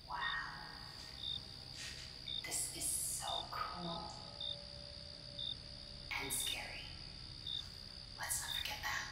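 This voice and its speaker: A woman performing an ASMR roleplay as a bold, teasing companion exploring a haunted house, speaking softly and breathily, often close to a whisper. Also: very slow, long pauses. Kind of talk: ASMR roleplay, soft-spoken improvised dialogue. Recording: very echoey hall reverb, faint noise.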